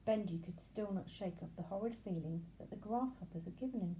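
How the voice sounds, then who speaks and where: A woman reading a children's story aloud in an expressive narrating style. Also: mid-range voice, a little fast, a little hiss or hum, low-quality recording. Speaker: storybook reader.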